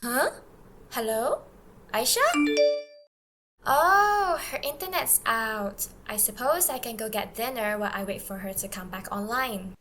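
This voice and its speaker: A woman playing an expressive teenage girl, a teasing friend and anxious daughter, in an audio drama. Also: on the high side, unhurried. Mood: puzzled.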